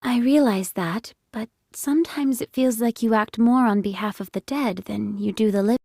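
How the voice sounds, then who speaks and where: A young female android commander holds a squad together with firm, insistent urging. Her voice is on the high side, earnest and strained. The game drama recording is dry and close. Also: moderate pace, vocal fry, mellow, no echo. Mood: pleading.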